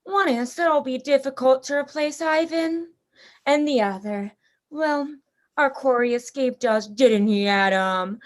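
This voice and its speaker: A woman playing an urbane, cruel general and hunter in a radio play, suave, theatrical and measured. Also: sits high. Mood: musing, smug.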